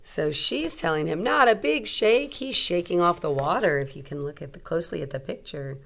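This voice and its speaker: Lady teacher doing storytime for little kids, kinda low voice, asks easy questions, explains as she goes. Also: brisk, vocal fry. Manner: simple questions, expressive teacherly delivery. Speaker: teacher reading to children. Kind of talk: storybook read-aloud with explanations for young children.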